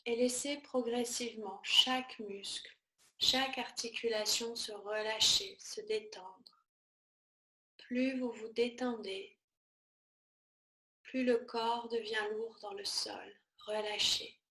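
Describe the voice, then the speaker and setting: A woman teaching yoga nidra, guiding a relaxation meditation in a soothing, measured manner with short, spaced-out phrases. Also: on the high side, steady tone, pushed and bright, roomy sound, a little hiss or hum, poor audio.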